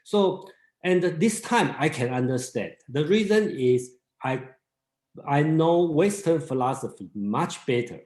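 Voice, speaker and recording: Male group leader, Mandarin native, halting delivery, roomy echo. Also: on the high side, animated, darkish tone. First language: Mandarin Chinese. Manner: halting, many restarts and fillers. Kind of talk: casual seminar talk.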